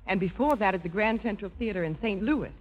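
A woman's voice: medium pitch, expressive, fast talker, natural voice. An actress playing an eager, flustered, apologetic young woman singer in a 1950s radio drama. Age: young adult. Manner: apologetic, polite, hesitant. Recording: muffled 1950s radio broadcast, narrow band.

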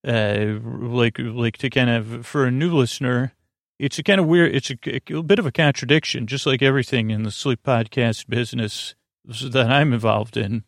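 A man with a creaky voice hosting a sleep podcast in a soothing, meandering monologue full of halting starts and tangents. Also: medium pitch, no echo, studio quality. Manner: halting, digressive, many false starts and filler words, soothing.

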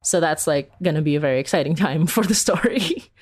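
A woman's voice: low-pitched, lively intonation, a little fast, darkish tone. A woman from the Philippines chatting casually as an interview guest, informal and giggly, peppering her talk with "like". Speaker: interview guest, horror audio drama creator.

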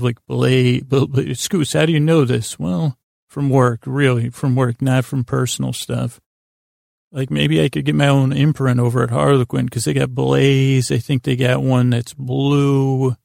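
A man hosting a bedtime podcast in a meandering, halting monologue, often interrupting himself and wandering off track while gently reassuring the listener. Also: mid-range voice, creaky, dry recording, studio quality. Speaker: podcast host.

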